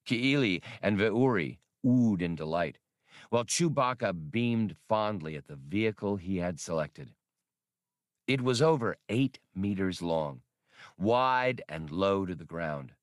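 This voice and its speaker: A man's voice: low-pitched, lively intonation, measured pace, dry recording, clean studio sound. A man reading adventure fiction as a scripted, dramatic audiobook narration. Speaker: audiobook reader.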